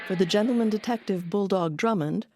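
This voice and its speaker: A woman hosting an audio documentary, reading scripted narration in a measured, explanatory way. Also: mid-range voice, heavy background noise. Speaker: documentary host. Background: music under the voice.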